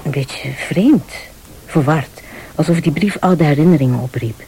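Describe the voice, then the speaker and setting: An actress playing an anxious, secretive nurse and conspiring lover in a Flemish radio thriller. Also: female voice, deep voice, normal speed, dark tone, breathy edge, heavily acted, low-quality recording. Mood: uneasy.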